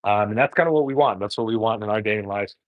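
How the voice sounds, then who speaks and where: A man's voice: lowish voice, fast, no room sound, phone-quality sound. Rambling man, casual livestream. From born in Korea, raised in the US. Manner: rambling, filler-heavy, frank. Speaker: dating coach host. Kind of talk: casual livestream chat while cooking.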